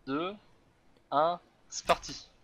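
A man speaks slowly and casually in game chat as a fellow player. His voice is a little high, with faint noise behind it. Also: steady tone, fairly bright tone.

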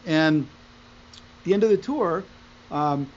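Man, middle-aged, native Italian speaker, animated storyteller, video call, dull sound. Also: fairly high pitch, takes it slowly. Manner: animated, gestures while talking. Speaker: podcast guest, musician. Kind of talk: conversational storytelling. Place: room at home, indoors. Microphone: video call, wearing headphones.

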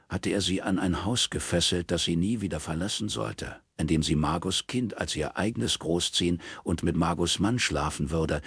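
Male audiobook narrator, raspy with vocal fry, measured literary read, dry studio sound. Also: deep voice, no room sound.

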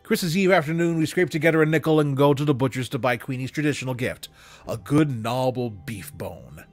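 Young man, expressive story reader, mid-pitched voice, close dry microphone, faint music. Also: fairly quick, dry recording. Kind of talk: expressive literary storytelling, read aloud. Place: small room at home, indoors. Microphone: close desk microphone.

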